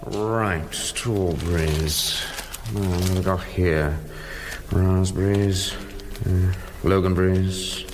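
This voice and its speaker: An actor playing a grumbling middle-aged British factory boss in a radio drama, muttering in a clipped, matter-of-fact way. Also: male voice, deep voice, fairly even intonation, takes it slowly, noisy background.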